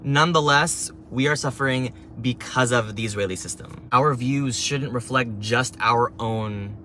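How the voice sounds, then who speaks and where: A man's voice: mid-range voice, a little slow, sharp tone, slight background noise. A young man speaking earnestly in a scripted opinion video, building his points through emphatic lists. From Palestinian diaspora. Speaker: commentator.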